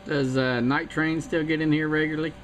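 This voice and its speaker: A man's voice: on the high side, little pitch movement, fairly quick, over loud music. A man from South Carolina checking in on a CB radio net, rambling in a casual, chatty way and laughing at himself. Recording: noisy CB radio.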